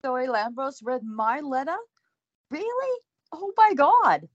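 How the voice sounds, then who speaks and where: A woman's voice: high-pitched, sing-song, slow pace, no room sound, muffled recording, heavily acted. A woman playing a flustered, earnest young woman in an audio drama.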